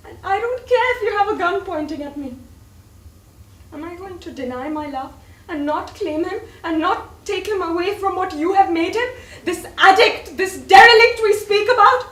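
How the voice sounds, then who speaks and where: A woman's voice: very high-pitched, animated, moderate pace, fairly bright tone. A woman acting in a stage play as a proud widowed mother, a defiant and pointed rival in love. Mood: passionate, defiant. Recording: echoey, distant stage recording with faint noise.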